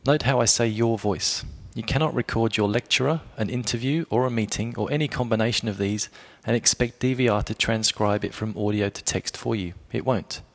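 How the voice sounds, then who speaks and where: A man reading a scripted explainer review as a blogger, in a measured way, speaking directly to the listener. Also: mid-range voice. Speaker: blogger presenting a product review.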